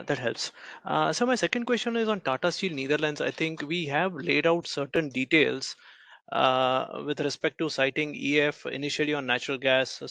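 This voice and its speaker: Creaky-voiced male analyst asking questions. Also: medium pitch, a bit bright, no echo. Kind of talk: formal question on an earnings call.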